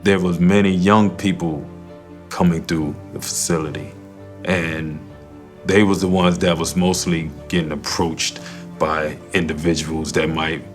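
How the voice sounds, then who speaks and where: A man's voice: low voice, fairly even intonation, unhurried, faint music behind. A man who was an inmate, speaking earnestly and conversationally in a documentary interview.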